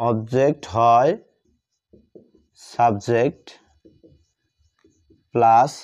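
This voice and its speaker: A man with a Bengali accent teaching a grammar lesson step by step, dictating in short, halting pieces and repeating himself. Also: medium pitch, darkish tone. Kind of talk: step-by-step lesson, explaining while writing. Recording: dull, muffled lo-fi audio.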